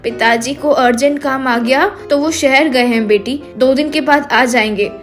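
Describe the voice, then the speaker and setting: A woman plays a feverish, gentle mother in a children's tale. The voice is high and weary, with soft music underneath. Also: normal speed, close to an everyday voice. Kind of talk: Hindi children's storybook narration.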